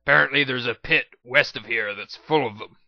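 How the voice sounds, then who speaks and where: A man voicing a sardonic, weary male pony in a deadpan manner for a storybook reading. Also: medium pitch, a little fast, creaky, no echo, muffled recording, natural voice. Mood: dry, unimpressed.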